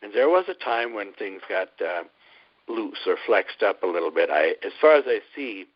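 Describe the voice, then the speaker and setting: An older man with a Midwestern accent answers interview questions slowly and carefully, pausing on ums. His voice sits fairly low. The audio is dull and muffled, like a radio broadcast. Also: big pitch swings. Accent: Midwestern American. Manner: hesitant, many ums, measured. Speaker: guest obstetrician.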